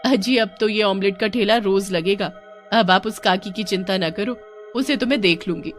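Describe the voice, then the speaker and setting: A woman voicing a bold, sharp-tongued young wife in a cartoon story. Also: mid-range voice, normal speed, faint music behind, lightly acted. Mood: lively.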